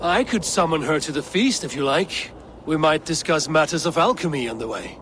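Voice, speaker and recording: An actor playing a sly, persuasive god in disguise in a fantasy video game. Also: male voice, on the high side, expressive, normal speed, a bit bright, slight background noise. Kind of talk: video game cutscene acting. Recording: game audio with ambience.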